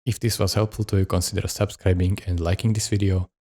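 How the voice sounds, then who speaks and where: A man hosting a step-by-step software tutorial, narrating each instruction. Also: deep voice, level tone, quick pace. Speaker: tutorial host.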